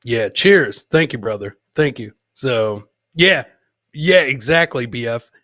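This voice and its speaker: A man's voice: medium pitch, lively intonation, slow pace, a bit bright, dry recording, studio quality. A middle-aged man hosting a comedy podcast, chatting casually and swearing, repeating phrases for emphasis and playing to his audience like a showman. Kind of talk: casual live-stream comedy chat.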